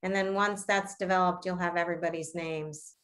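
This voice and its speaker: A woman's voice: on the low side, steady tone, fairly quick, fairly bright tone. A woman school staff member speaking in an online parent session, friendly and helpful.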